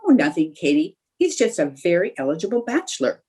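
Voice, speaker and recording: Woman as young housewife, radio comedy, raspy edge, swooping intonation, eager, chatty schemer. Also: fairly low pitch, very animated, a little fast, darkish tone. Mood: airy. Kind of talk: old-time radio comedy acting. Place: indoors, remote video call.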